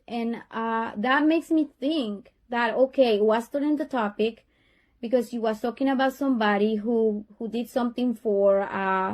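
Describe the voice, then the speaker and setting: A woman's voice: on the high side, darkish tone. A middle-aged woman from Honduras with a Honduran Spanish accent, a parent speaking earnestly to a teacher and often repeating and restarting her words. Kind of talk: tense parent-teacher call.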